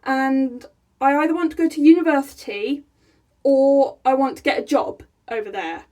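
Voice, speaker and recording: A young woman talks straight to her camera in a small room, her voice sitting high. She is chatty and excitable and laughs between thoughts, as in a casual vlog. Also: normal speed. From England. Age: young adult. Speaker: vlogger. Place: small room by a rainy window, indoors.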